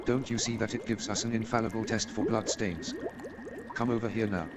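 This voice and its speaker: A man playing an eager, brisk, self-absorbed scientist in a humorous radio play, emphatic and enthusiastic. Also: fairly low pitch, fairly even intonation, close to an everyday voice. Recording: loud noise and crowd sound.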